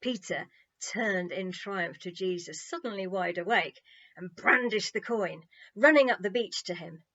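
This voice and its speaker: A woman's voice: medium pitch, unhurried, a bit bright, muffled recording. A woman narrating a children's story expressively, in a playful, wry, conversational way.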